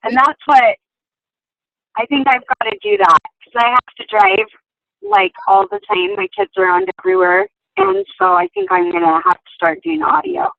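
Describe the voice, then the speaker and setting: A woman chatting casually and quickly in a group conversation. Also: sits high, sing-song, vocal fry, no echo. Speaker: participant. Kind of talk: casual chat. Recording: dull dry lo-fi call audio.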